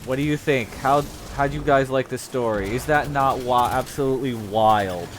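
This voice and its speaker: A man hosting a casual live stream, talking to chat in a halting, unhurried way. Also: mid-range voice, background noise. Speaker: game streamer, host. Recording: loud game gunfire behind voice. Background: video game gunfire.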